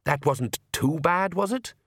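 Man acting pompous, excitable vampire count. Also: a little high, unhurried, slightly rough, voice pushed up, full character voice. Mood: persuasive. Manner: pompous, persuasive.

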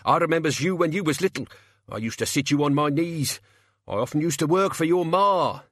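A man voicing a cocky old British crook with rough, colloquial speech in an audiobook. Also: fairly high pitch, animated, brisk, a bit bright, dry recording, clean studio sound, pitched above the performer's own voice. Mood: pleading. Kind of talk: audiobook character acting.

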